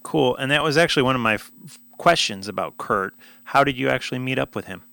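A male interviewer with a gravelly, bright voice asks questions and answers with short, eager words. His tone is tense and forward. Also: medium pitch, lively intonation, quick pace, no echo.

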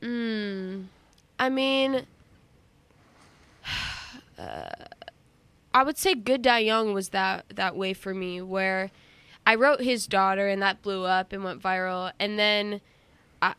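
Bright, clear-voiced young woman. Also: medium pitch, animated, slow pace, long pauses, no room sound. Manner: chatty, laughing, many fillers and restarts. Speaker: singer being interviewed. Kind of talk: casual interview.

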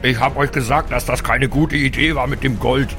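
A man's voice: mid-range voice, fairly even intonation, normal speed, over music, close to an everyday voice. Man playing a whiny, put-upon dwarf adventurer. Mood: whiny protest. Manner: complaining, old-fashioned exclamations. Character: whiny, reproachful, pious male dwarf adventurer.

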